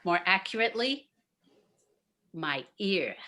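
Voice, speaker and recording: A woman's voice: mid-range voice, expressive, slow, pushed and bright. A woman playing a scheming, gloating nightclub boss in a campy noir radio play. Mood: sly, gloating. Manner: theatrical, gloating. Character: scheming brassy female nightclub boss Sal, noir gang leader.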